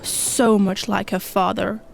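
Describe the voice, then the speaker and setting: A woman playing a solemn, protective warrior mentor with an earnest, measured delivery in a sci-fi audio drama. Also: medium pitch, little pitch movement, gravelly, a little hiss or hum. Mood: firm.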